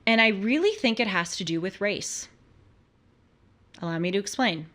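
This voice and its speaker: Female commentary host, American, earnest and emphatic, conversational delivery with rhetorical questions and a medium-pitched voice. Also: animated, normal speed, fairly bright tone. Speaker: host of a political commentary show.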